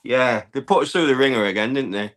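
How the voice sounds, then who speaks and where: A man with a hoarse voice, chatting casually as a fan calling in to a football show. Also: medium pitch, fast, fairly bright tone. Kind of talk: casual chat.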